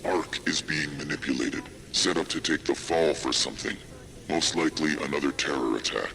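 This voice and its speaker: An actor playing a measured, secretive video game informant, formal and deliberate with a gravelly voice. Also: male voice, bright, forceful tone, slight background noise, full character voice. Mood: measured, cautious. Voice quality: very deep, gravelly. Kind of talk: cinematic video game acting.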